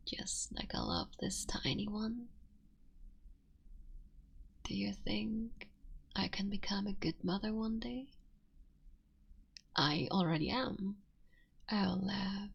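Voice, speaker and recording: A woman voicing a tender, motherly female fox-spirit witch in an ASMR roleplay, speaking softly and often whispering. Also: medium pitch, vocal fry, husky, lo-fi sound, heavily acted. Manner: soft and intimate, often whispering.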